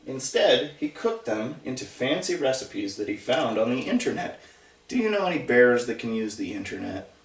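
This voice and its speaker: A man reading a picture book aloud to young children, conversationally, with chatty asides and questions to the listeners. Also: medium pitch. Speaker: storybook reader. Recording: roomy echo, distant mic.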